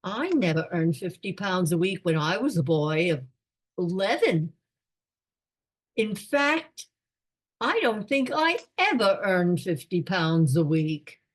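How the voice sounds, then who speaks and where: Radio comedy role: genial, forgetful elderly aristocrat played by a woman, pompous musings, unhurried delivery, slightly low register. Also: very animated, exaggerated voice. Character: doddering, absent-minded elderly English lord, genial, rambling. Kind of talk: radio play comedy acting.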